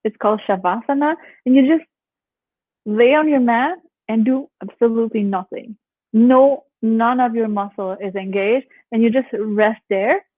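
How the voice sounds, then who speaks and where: A woman's voice: a little high, moderate pace, no echo. A young woman from Canada, a yoga instructor, chatting casually as a guest with a relaxing voice; she laughs often and acts out what other people say. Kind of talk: casual interview chat. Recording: phone-like call audio.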